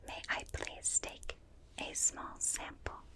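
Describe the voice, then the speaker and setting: Casting: woman as courteous alien researcher for ASMR roleplay, whispered, crisp bright edge, soothing and exact. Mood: polite, approving. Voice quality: breathy whisper. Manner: slow, soothing, precise, formally polite. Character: polite, calm alien examiner studying a small human. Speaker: ASMR performer. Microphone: close binaural ASMR microphone.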